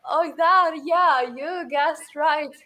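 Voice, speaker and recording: A woman's voice: high voice, extremely slow, bright, forceful tone, slight background noise. A woman from Ukraine, an English learner, chatting on a video call with curious questions and lots of "like". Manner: curious, giggly, asks with lots of "like". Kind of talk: casual video-call chat.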